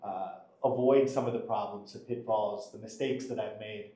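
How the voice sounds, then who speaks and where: A middle-aged American man giving measured, explanatory interview answers as an attorney, pausing between phrases. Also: lowish voice, fairly even intonation, dark tone. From Buffalo, western New York, United States. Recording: very echoey room.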